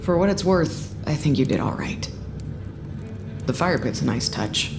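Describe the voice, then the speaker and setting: A woman's voice: low voice, expressive, a little slow, music in the background. A woman acting a blunt, unsentimental research staffer in an audio drama, matter-of-fact and casually profane in a naturalistic style.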